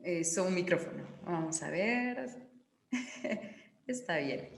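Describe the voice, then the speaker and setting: Low-voiced moderator woman in echoey room. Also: lively intonation, gravelly. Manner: courteous, measured, summarizing. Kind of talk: moderating a panel discussion.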